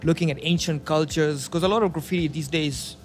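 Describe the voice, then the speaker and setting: Reflective Kenyan man, slightly high voice. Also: fairly even intonation, brisk, soft background music. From Nairobi, Kenya. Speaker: guest, street artist. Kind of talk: casual reflective chat.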